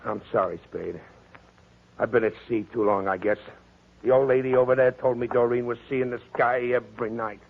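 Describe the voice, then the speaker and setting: An American actor playing a hot-headed, boozy tough sailor with rough, slangy speech in a 1940s radio crime drama. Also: male voice, medium pitch, fairly even intonation, moderate pace. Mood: dazed, sheepish. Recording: muffled, noisy 1940s radio recording.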